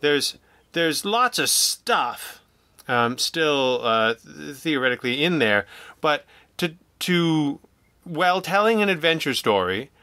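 A man with a bright, forceful voice in a very dry recording. He chats casually as an interview guest, feeling his way haltingly through digressions and self-interruptions. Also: on the high side, lively intonation, no room sound. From Canada. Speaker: podcast guest, audio drama writer and producer.

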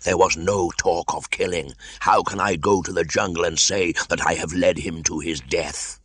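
A man voicing a huge old python, speaking in slow, hissing reproach. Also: sits low, steady tone, fairly bright tone, slightly rough, dry recording. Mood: troubled.

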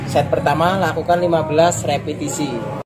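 Higher-voiced young man, casual tutorial. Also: steady tone, moderate pace. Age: 20s. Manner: frequent "ya" tags checking in with viewers. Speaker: fitness YouTuber. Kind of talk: casual exercise tutorial to camera. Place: outdoors in a narrow walled residential alley. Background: music.

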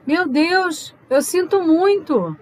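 A woman's voice: very high-pitched, animated, takes it slowly, heavily acted. An actress playing an anxious young girlfriend in an audio drama. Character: young woman, anxious girlfriend secretly scheming.

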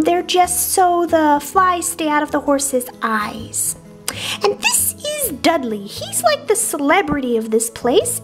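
A woman voicing a cute, excitable little lamb girl plush toy who narrates a travel vlog in a chirpy, childlike, giggly way. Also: very high voice. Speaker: vlog host.

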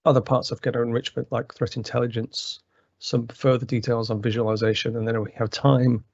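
Gravelly-voiced man hosting a software tutorial, loose and full of fillers, on dry, dull-sounding audio. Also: medium pitch, level tone, fairly quick, no echo. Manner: rambling, many fillers and restarts. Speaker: presenter. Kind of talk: technical webinar walkthrough. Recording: dry, slightly muffled webinar audio.

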